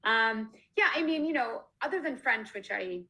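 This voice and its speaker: Bright, chatty woman author on a call. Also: a little high, animated, normal speed. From Canada. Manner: chatty, laughs often, many fillers and restarts. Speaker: author being interviewed. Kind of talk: casual conversational interview. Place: home, online video call. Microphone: video call.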